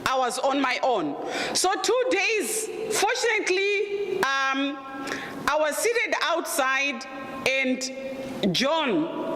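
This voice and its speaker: Zimbabwean woman with a deep voice. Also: a bit bright, a little hiss or hum. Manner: candid, humorous, emphatic. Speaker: guest presenter. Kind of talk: personal storytelling talk. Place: lecture room. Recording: echoey room with long reverb.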